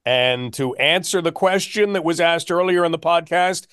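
A Canadian man hosts a sports podcast in a fairly high voice. He is recorded close and dry, with very little room around him. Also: normal speed, a bit bright, smooth, dry recording.

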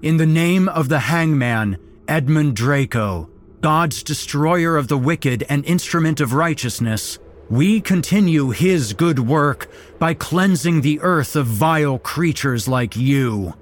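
Fanatic hooded cultist, as a man puts him on, loud, raised pitch, preachy, horror story. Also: expressive, slow. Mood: zealous. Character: hooded zealous male cult member, proclaiming.

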